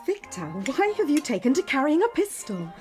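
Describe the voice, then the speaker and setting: An actress playing a devoted, worried young bride with a soft, breathy, tender delivery in a dramatised audiobook. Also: female voice, sits high, sing-song, moderate pace, faint music behind. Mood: concerned. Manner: tender, concerned.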